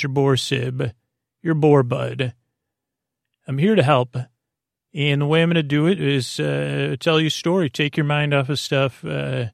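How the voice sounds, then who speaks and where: A man hosting a bedtime podcast in a creaky voice, rambling in a soothing, halting, meandering way with casual friendly banter. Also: a little high, dry recording, clean studio sound. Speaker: podcast host.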